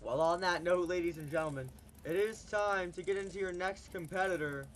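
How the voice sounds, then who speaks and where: A man announcing a monster truck show in the style of an arena announcer, stretching out his hype calls, and he is losing his voice. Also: a little hiss or hum. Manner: booming, drawn-out hype calls. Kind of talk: hyped arena announcer commentary.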